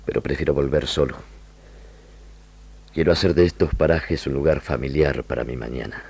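An actor playing an honorable, humane army lieutenant with earnest, measured, formal phrasing in a radio drama. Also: male voice, extremely low pitch, fairly even intonation, creaky, darkish tone, breathy edge, full character voice. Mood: earnest, sober.

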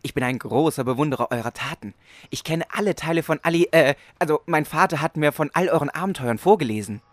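A man plays an honorable young boy in a comic audio play. His voice is fairly high and dry in sound, with a formal, reverent manner full of earnest admiration. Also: fast talker, no echo, natural voice. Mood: awestruck. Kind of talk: parody audio drama acting.

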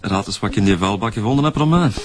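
A man's voice: on the low side, racing, close to an everyday voice. A man with a Flemish accent playing an eager, talkative detective in a radio drama. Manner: eager, chatty, excitable. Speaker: police detective. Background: music.

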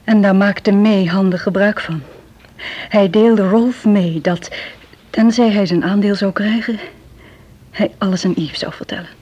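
An actress playing an anxious, addicted woman making a halting confession in a Dutch radio drama. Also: mid-range voice, warm tone, lightly acted. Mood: tense, confessing. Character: anxious drug-addicted young woman confessing a criminal scheme. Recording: muffled, lo-fi old radio play recording.

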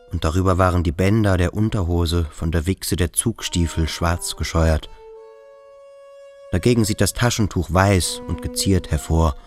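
An actor playing a brooding, observant boarding-school pupil in a radio play, speaking reflectively and deliberately. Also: male voice, deep voice, close to an everyday voice. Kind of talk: German radio play acting.

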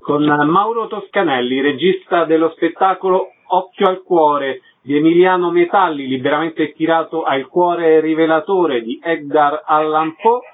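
A man's voice: on the high side, unhurried, hardly any pauses. A man hosting a radio interview, reading announcements and asking short questions. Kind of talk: scripted announcements and brief questions. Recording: narrow phone-like radio sound.

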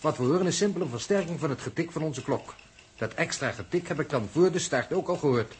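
An actor playing a logical, self-assured spaceship technician in a 1960s Dutch radio drama, explaining in a Netherlands accent. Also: male voice, a little high, animated, quick pace, natural voice. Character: confident, know-it-all male spaceship technician, logical and unbothered. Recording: noisy 1960s radio recording.

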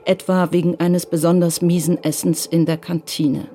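A woman reading scripted literary narration for a radio crime drama in a measured, matter-of-fact way. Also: lowish voice, flat delivery, music in the background.

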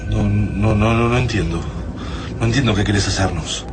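An actor with an Argentine accent playing a frightened, defiant human prisoner in a science fiction radio drama, urgent and questioning. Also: male voice, fairly low pitch, normal speed, lightly acted. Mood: bewildered, defiant. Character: Juan, captured human fighter, frightened and defiant. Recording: music behind the voice.

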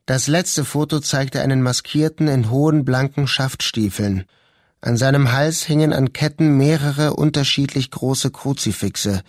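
A man's voice: mid-range voice, dry recording, studio quality. A man reading scripted literary narration as the narrator of a radio drama.

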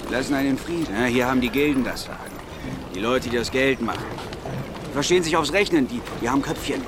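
A talkative, streetwise townsman played by a male actor in a radio play. He has a middling voice and a folksy, opinionated manner, with street ambience clearly audible behind him. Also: mid-range voice, quick pace, close to an everyday voice. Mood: chatty, scornful. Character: chatty, opinionated Antwerp local man, streetwise and gruff. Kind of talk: colloquial radio drama dialogue. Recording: noisy outdoor ambience behind.

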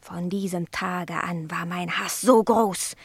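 A woman playing a haughty, sharp-tongued female cat in a 1960s German radio play, speaking with grand, theatrical disdain. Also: lowish voice, fairly even intonation, slow pace, vocal fry, husky, no room sound, clean studio sound, heavily acted. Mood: dramatic indignation.

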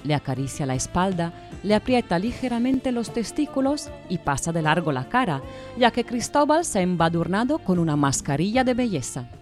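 A woman's voice: fairly low pitch, sing-song, fairly quick, a little mellow, soft background music. A woman giving scripted narration for a satirical audio drama.

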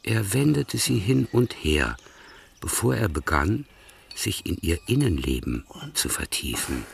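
Deep, gravelly male narrator. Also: big pitch swings, recorded from a distance, a little hiss or hum. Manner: measured, storytelling. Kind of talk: scripted radio-play narration.

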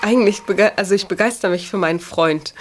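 A woman's voice: mid-range voice, a little fast. A woman in her twenties talking casually and reflectively about herself, often tagging phrases with a questioning "ja". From Germany. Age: 20s. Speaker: interviewee. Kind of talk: reflective casual personal talk.

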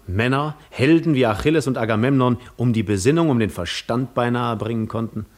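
A wry, deep-voiced old war hero. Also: male voice. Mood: amused, wry. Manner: reflective, ironic, dramatic pauses and swells. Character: wry seasoned male war hero recalling old adventures. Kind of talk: 1950s German radio drama monologue.

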